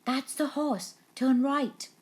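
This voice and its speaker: A woman's voice: high-pitched, fairly even intonation, takes it slowly, a little mellow, husky, pitched above the performer's own voice, heavily acted. A woman voicing scheming male cattle thieves in a children's storybook, plotting in an emphatic, conspiratorial manner. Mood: sneaky, excited.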